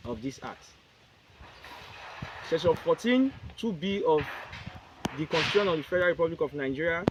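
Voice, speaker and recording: A man reading a prepared statement aloud at a press conference, in short, halting phrases and with the odd restart. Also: a little high, noisy background.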